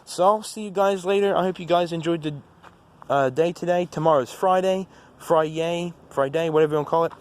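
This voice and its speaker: A teenage male with an American accent gives a casual, rambling vlog-style recap of the day, halting often as he talks. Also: a little high, darkish tone. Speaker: vlogger. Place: outdoors. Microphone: phone. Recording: noisy outdoor phone recording with traffic.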